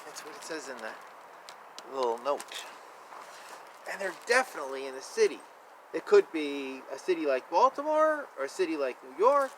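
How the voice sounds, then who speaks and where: High-voiced man reading picture books outdoors. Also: moderate pace. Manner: playful, expressive, repeats phrases. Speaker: children's book reader. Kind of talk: reading picture books aloud with casual asides. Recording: noisy outdoor ambience. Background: outdoor ambience, animal and vehicle sounds.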